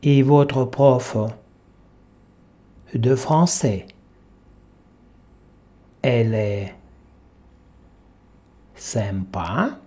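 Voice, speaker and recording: A man teaching a language, reading a textbook dialogue aloud one short phrase at a time, with long pauses for learners to repeat. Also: medium pitch, lively intonation, mellow. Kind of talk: slow dictation-style reading for repetition. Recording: dull lo-fi classroom recording.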